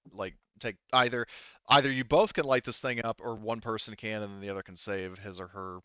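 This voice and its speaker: A man with a smooth, fairly low voice acts as game master for a casual tabletop session. He thinks aloud and pauses often while sorting out rules. The sound is close and dry but somewhat dull. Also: steady tone, pushed and bright, dry recording.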